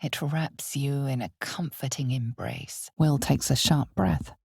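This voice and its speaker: A woman playing an ominous, knowing narrator of a dark fantasy game, speaking in a measured, theatrical way that turns hushed and breathy at times. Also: extremely low pitch, fairly even intonation, dry recording, studio quality, heavily acted. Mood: ominous, solemn.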